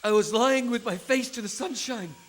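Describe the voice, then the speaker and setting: An actor playing a rebellious, obsessed son in a theatrical audio drama, speaking fervently with halting repetitions. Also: male voice, very high-pitched. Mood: impassioned. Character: young rebellious son, obsessed, confessing his escape. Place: stage before a studio audience. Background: music.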